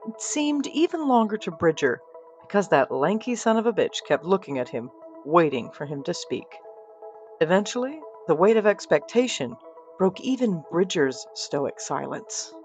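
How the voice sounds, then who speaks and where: Wry woman storyteller over soft music. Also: on the low side, very animated, moderate pace. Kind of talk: scripted comedic fiction narration.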